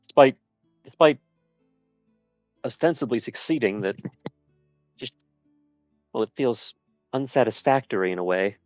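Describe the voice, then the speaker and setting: A man roleplaying at a tabletop game with measured, formal phrasing. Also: medium pitch, lively intonation, a little mellow, dry recording, muffled recording, faint music behind.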